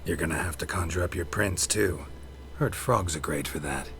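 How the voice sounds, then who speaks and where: A man playing a dry-witted monster hunter in a fantasy game, gruff and gravelly, with a deadpan, laconic delivery. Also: low voice, moderate pace, a little hiss or hum. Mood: wry.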